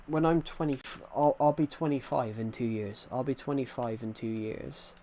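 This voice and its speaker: Young male streamer, twenties, mid-pitched, rambling and repetitive, casual chat to viewers, faint background noise. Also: normal speed, warm tone. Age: 20s. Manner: rambling, repeats himself, answers chat. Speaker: gaming streamer. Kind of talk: casual live-stream chat while playing.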